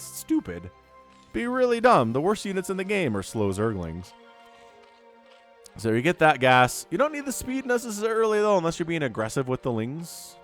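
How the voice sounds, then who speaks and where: A man with a congested voice from a cold, casting a strategy game match in a chatty, joking way. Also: on the high side. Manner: chatty, joking asides, excited at fights. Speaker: StarCraft caster and show host. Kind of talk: casual game commentary.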